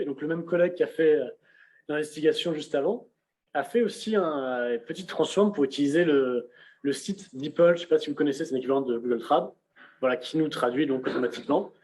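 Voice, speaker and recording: A young man giving a casual on-screen software walkthrough, talking conversationally with frequent fillers and restarts, recorded on a computer mic. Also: fairly high pitch. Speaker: presenter of a software demonstration. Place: indoors at a computer.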